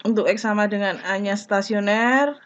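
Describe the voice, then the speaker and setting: A woman giving a math lesson, explaining step by step in short, deliberate phrases. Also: mid-range voice. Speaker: math teacher. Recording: dull lo-fi recording.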